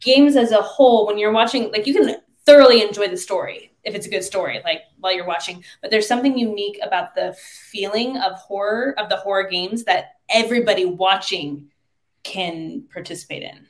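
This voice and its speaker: A woman's voice: mid-range voice, brisk. A woman chatting casually as a guest on a podcast. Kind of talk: casual chat. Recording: roomy, echoing sound.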